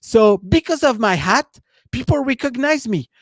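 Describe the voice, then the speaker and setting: A man's voice: very high-pitched, lively intonation, normal speed, no echo. A man with a French accent, giving a loose, rambling live-stream talk as a business coach, leaning on "you know" and "right?". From France.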